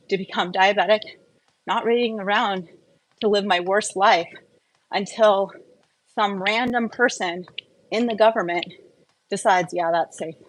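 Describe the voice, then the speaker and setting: A woman's voice: mid-range voice, a little hiss or hum, low-quality recording. A woman chatting casually and candidly in a personal vlog, opinionated and prone to rambling off on tangents. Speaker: wellness vlogger.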